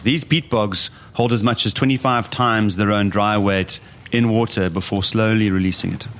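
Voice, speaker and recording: Man, slightly low voice, reflective eyewitness recollection, descriptive, faint background noise. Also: steady tone, normal speed, fairly bright tone. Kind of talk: first-hand documentary recollection.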